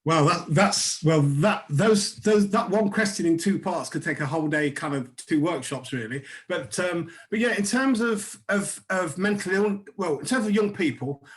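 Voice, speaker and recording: A man's voice: high voice, normal speed, hardly any pauses. A man in his sixties, a psychotherapist on a panel, speaking over a video call and often repeating words as he starts a thought. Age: 60s.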